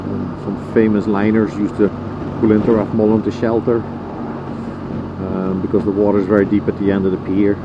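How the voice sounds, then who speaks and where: A man's voice: lowish voice, flat delivery, fairly quick. A man with a Dutch accent chatting casually as he rides a motorcycle, rambling with lots of ums and uhs. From Netherlands. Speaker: motorcycle vlogger. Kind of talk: casual vlog commentary while riding. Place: on a motorcycle riding outdoors. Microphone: helmet intercom headset mic. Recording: muffled lo-fi helmet mic, loud road and wind noise. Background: engine and road noise.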